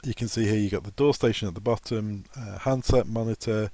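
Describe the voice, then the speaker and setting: A man's voice: fairly low pitch, fairly quick, mellow, dry recording. A man presenting an informal technical training walkthrough, explaining each step plainly as a trainer. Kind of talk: software walkthrough tutorial, informal instruction.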